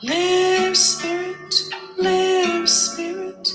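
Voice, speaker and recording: A woman sings a devotional song in a very high voice. The phrases are drawn out extremely slowly, with prominent guitar music accompanying her. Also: monotone. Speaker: singer. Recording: reverberant, with plucked guitar accompaniment.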